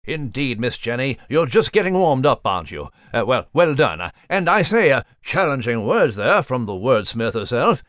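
A man voicing a courteous, inquisitive little gentleman mouse with a British accent and a fussy, proper manner. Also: mid-range voice, big pitch swings, normal speed, hardly any pauses, no room sound, studio quality. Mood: admiring.

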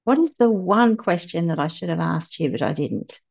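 A woman's voice: lowish voice, expressive, moderate pace, vocal fry, darkish tone. A woman hosting a podcast, asking questions and thanking her guest appreciatively. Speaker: podcast host. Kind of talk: podcast interview. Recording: dull lo-fi remote recording.

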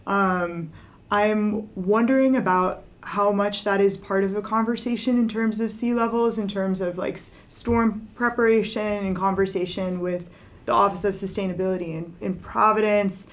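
A woman's voice: mid-range voice, steady tone, normal speed. A woman hosting a podcast interview, listening closely with short "right" and "mm-hmm" replies and asking long, thoughtful questions. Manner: attentive, frequent short backchannels like "right" and "mm-hmm". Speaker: podcast host. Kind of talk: casual interview conversation.

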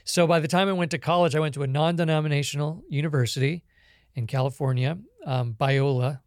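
Canadian man telling his story, slightly higher voice. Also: steady tone, brisk, no echo, clean studio sound. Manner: reflective storytelling with frequent ums. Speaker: guest. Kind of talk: conversational interview.

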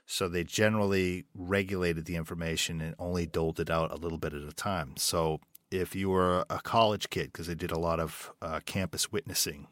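A man, a writer and director, answering interview questions thoughtfully, pausing and restarting as he searches for words. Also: deep voice, fairly even intonation, fairly bright tone, no room sound, clean studio sound.

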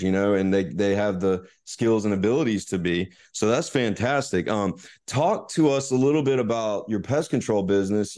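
A man's voice: on the low side, mellow. A man hosting a business podcast, opening with a scripted upbeat intro and then chatting casually with a guest, with fillers. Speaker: podcast host. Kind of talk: casual interview chat.

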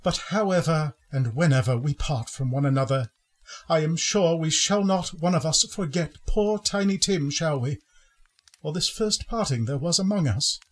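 A man playing a gentle, grieving Victorian father in a radio play, speaking tenderly and earnestly in a husky voice. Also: a little high, normal speed, natural voice. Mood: tender, solemn. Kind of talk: sentimental radio play acting.

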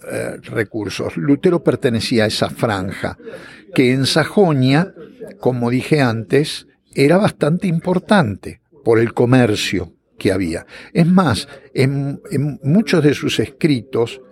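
A middle-aged man, answering interview questions as a historian in a lecturing way, often pausing on filler words. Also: medium pitch. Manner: lecturing, with frequent "este" and "eh" fillers and restarts.

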